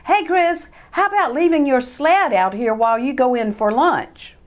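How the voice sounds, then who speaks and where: A woman's voice: high voice, normal speed, pitched above the performer's own voice, close to an everyday voice. A woman voicing a careless young boy mouse cousin who talks in a raised, defensive, insistent way.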